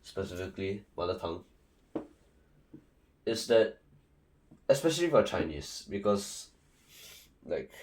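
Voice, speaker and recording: A man's voice: low voice, big pitch swings, unhurried, pauses often. A young man from Singapore with a Singaporean English accent, giving casual, rambling study advice full of fillers and restarts. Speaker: student tutor.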